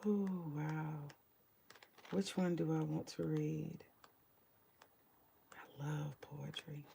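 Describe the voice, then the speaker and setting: A woman with a deep morning voice chatting casually about her books, rambling, swearing freely and muttering to herself as she searches her shelves. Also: fairly even intonation, very slow, vocal fry, dark tone, a little hiss or hum. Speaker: vlogger sharing her book collection. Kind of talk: casual home vlog chat.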